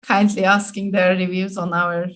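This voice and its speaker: A woman's voice: medium pitch, little pitch movement, normal speed. A woman with a Turkish accent, hosting an online webinar in a polite, semi-formal way with slightly hesitant phrasing. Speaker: webinar host and moderator.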